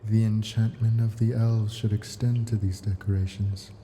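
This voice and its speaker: Man acting as ancient male dragon, very dark mellow low voice, coaxing and possessive, ASMR roleplay, faint background noise. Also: little pitch movement, close to an everyday voice. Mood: proud, coaxing. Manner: slow, coaxing, possessive endearments with soft whispers. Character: ancient male dragon, possessive, doting captor of treasured pet.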